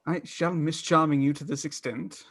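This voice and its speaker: A man playing an earnest, studious young gentleman in a radio play, formal and courteous in speech. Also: fairly high pitch, fairly even intonation, moderate pace, breathy edge. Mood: polite, earnest. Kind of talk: stage radio play acting.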